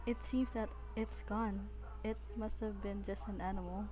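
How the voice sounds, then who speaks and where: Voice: mid-range voice, fairly even intonation, normal speed. A giggly co-streamer chatting casually during a game playthrough, with game music behind. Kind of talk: casual chat.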